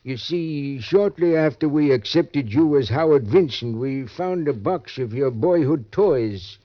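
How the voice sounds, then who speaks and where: A man playing a patient, paternal older gentleman, grave and measured, in a 1940s radio drama. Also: fairly high pitch, animated, no echo. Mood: gently regretful. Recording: dull, lo-fi old radio recording.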